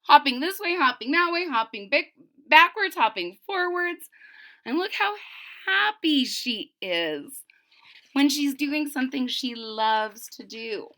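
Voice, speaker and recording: A woman reads aloud for young children in a high, bright voice. Her pitch moves in lively swoops as she tells the story. She is expressive and explains things simply in small asides. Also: a little slow. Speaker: storybook reader.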